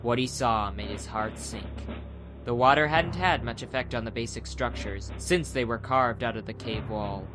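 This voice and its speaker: A man's voice: mid-range voice, normal speed. A man narrating a scripted fantasy adventure audiobook in a dramatic storytelling style. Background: music.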